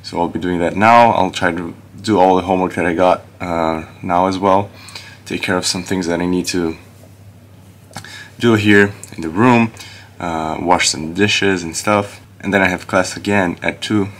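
Deep-voiced young man vlogging. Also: steady tone, moderate pace. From Brazil. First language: Portuguese. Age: young adult. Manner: upbeat, chatty, lots of uh fillers. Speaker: college student vlogger. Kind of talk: casual vlog narration. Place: dorm room, indoors. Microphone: camera at arm's length.

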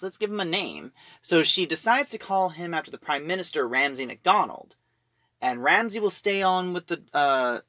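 A man reviews and retells a story casually, in a bright, forward voice. He goes along haltingly, like an informal storyteller. The sound is close and dry. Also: fairly high pitch, no echo. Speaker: reviewer, host of an audio drama review show.

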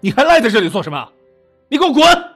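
Man acting a doting, expressive young lover. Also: very high voice, fast, faint music behind, heavily acted. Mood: hurt, furious. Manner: indulgent, coaxing, emotional. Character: doting, lovestruck young man, patient until hurt. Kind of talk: audio drama acting.

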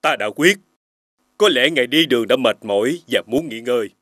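Dignified old king, slightly husky. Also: medium pitch, animated, fairly bright tone, no echo, studio quality. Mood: courteous, firm. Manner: formal, measured royal speech. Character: aging Hùng king, dignified, firm, caring father. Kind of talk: animated fairy tale acting. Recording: faint music behind.

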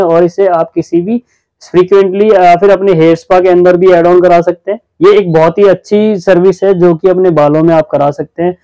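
A man's voice: sits high, warm tone, no room sound, highs cut off. A young man hosting a hair-care vlog, earnestly explaining a salon treatment to camera while gesturing, recorded on a lapel mic in a small studio. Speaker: hair stylist host. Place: small indoor studio, plain backdrop.

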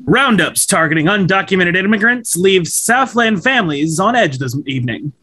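A man's voice: sits high, expressive, moderate pace, bright, forceful tone, full character voice. A man playing an urgent TV news reporter in a screenplay table read.

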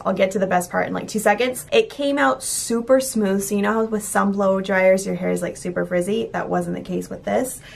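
A young woman chatting excitedly in a casual vlog, filming herself at arm's length, with a slightly raspy voice. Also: mid-range voice. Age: young adult. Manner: bubbly and gushing. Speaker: lifestyle vlogger. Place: car and city streets. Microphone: camera at arm's length.

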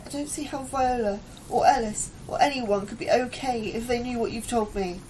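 An actress playing an anxious, troubled woman scientist in an audio drama, confiding her fears in a hesitant, halting way. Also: a little high, mellow, a little hiss or hum, lightly acted, rough recording. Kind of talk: audio drama acting, diary-like monologue and dialogue.

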